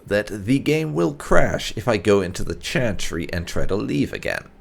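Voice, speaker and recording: A man's voice: fairly low pitch, very animated, moderate pace, creaky. A man hosting a gaming channel, giving casual let's play commentary.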